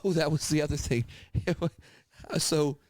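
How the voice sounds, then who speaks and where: A man's voice: fairly high pitch, normal speed, no room sound, clean studio sound. A man telling casual anecdotes as a podcast host, animatedly acting out what people said and swearing freely. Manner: animated retelling with acted-out quotes, swearing. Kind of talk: casual chat, anecdotal storytelling.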